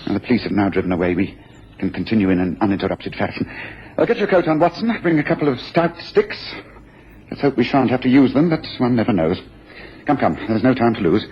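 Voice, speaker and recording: A man playing a brisk, observant, commanding gentleman detective in a radio drama, speaking in an analytical, precise way. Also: on the low side, sing-song. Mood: brisk, urgent. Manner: analytical, precise, deliberate, authoritative. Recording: muffled, faintly noisy radio drama recording.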